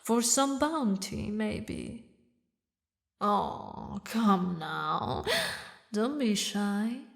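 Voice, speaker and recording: A woman voicing a haughty, mocking demon queen who mourns a lost lover, speaking in slow, theatrical, regal phrases for an audio roleplay. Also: mid-range voice, big pitch swings, vocal fry, full character voice. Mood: mocking, menacing. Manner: slow, taunting, regal, with dramatic pauses. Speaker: villainous ruler addressing a knight.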